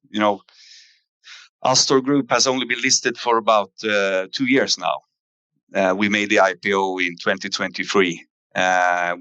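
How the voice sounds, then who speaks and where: Man, very low pitch, dry close sound, business presenter, hesitant filler-heavy delivery. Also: fairly bright tone, dry recording, highs cut off. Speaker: company executive presenter. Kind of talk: investor earnings presentation, semi-scripted.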